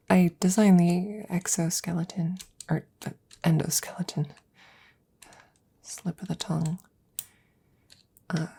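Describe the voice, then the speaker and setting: Devoted, worried female scientist, played by a woman, close-mic ASMR drama: lowish, mellow voice, soft whispering, rambling and tender toward the listener. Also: full character voice. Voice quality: breathy, often whispering. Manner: hesitant, rambling, gently reassuring. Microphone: close microphone.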